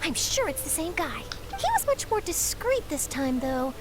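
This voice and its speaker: High, perky young reporter. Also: female voice, sing-song, moderate pace, noisy background. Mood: determined. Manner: crisp, inquisitive, emphatic. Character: keen, perky young female investigative reporter. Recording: game music behind the voice.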